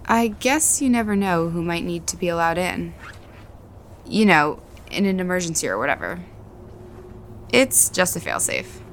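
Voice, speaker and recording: A woman's voice: on the low side, very animated, normal speed, long pauses, slight background noise. An actress playing a guarded, reserved woman in a naturalistic audio drama, giving understated, noncommittal answers. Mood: guarded, matter-of-fact.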